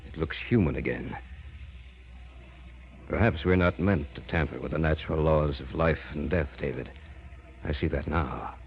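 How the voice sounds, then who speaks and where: An actor playing a grave, remorseful middle-aged scientist father in old-time American radio horror, speaking solemnly. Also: a bass voice. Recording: dull, noisy vintage radio recording.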